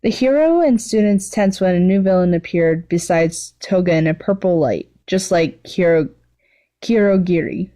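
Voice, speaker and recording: A woman's voice: on the low side, moderate pace, mellow. A woman narrating fan fiction in a casual, amateur reading style, stumbling now and then and correcting herself.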